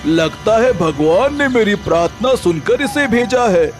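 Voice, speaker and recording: A humble village brick trader voiced by a man in a storybook tale. The voice is high and lively in pitch, earnest and full of wonder, over background music. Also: measured pace. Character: humble, helpful male brick trader Ramu.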